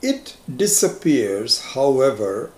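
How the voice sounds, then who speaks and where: Man, Hindi native speaker, scripture teacher, unhurried, patient explanations, echoey room. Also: medium pitch, sing-song. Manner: patient, explains step by step with everyday examples. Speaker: Vedanta teacher. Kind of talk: scripture class lecture.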